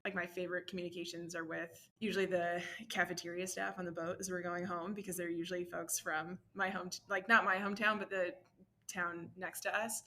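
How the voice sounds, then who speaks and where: A woman answering interview questions in a chatty, animated way. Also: on the low side, fairly quick.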